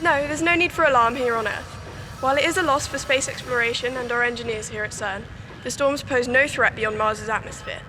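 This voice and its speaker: A confident, matter-of-fact scientist, played by a young woman, speaks in a fairly high voice in a radio drama interview. Clear background noise surrounds her. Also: brisk, natural voice. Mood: authoritative. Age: young adult. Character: confident, dismissive female scientist. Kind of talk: scripted news interview drama.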